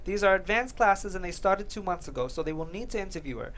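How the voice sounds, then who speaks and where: A woman's voice: mid-range voice, expressive, fast, fairly bright tone, slight background noise, natural voice. A woman playing a brash, generous New York defense attorney in a table read of a TV drama. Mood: warm, explaining. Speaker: actor.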